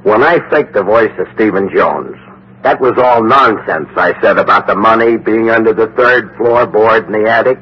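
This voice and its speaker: Blunt, husky male skeptic, old radio. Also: fairly low pitch, normal speed. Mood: defensive, puzzled. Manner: blunt, colloquial. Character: firm, skeptical male schemer calming a hysterical woman. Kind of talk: 1950s radio acting. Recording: dull vintage 1950s radio recording.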